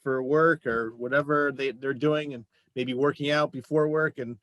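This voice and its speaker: A man's voice: mid-range voice, fairly even intonation, moderate pace, fairly bright tone, no room sound. A man hosting a casual interview, talking in an agreeable, encouraging, conversational way. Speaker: interview show host.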